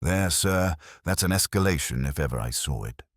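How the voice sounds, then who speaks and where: A man's voice: very deep voice, animated, moderate pace, dry recording, clean studio sound. A man voicing an eager, self-satisfied police sergeant in a mystery story. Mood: eager insistence. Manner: eager, assertive.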